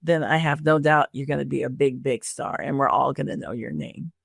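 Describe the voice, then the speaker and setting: A woman with a creaky voice, speaking conversationally as an interview host and career coach. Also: extremely low pitch, fairly even intonation, brisk, no room sound, clean studio sound.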